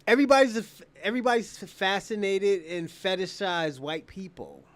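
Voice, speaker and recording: A man's voice: high voice, normal speed. A man hosting a casual livestream, talking off the cuff in an opinionated, rambling way and repeating phrases. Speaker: live stream show host.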